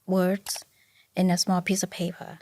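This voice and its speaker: A woman's voice: lowish voice, monotone, unhurried, dark tone, no room sound. Thai-accented mother, dry close voice. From Thailand. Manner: candid, restarts sentences while recalling. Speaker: guest, mother of two. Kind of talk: casual interview chat.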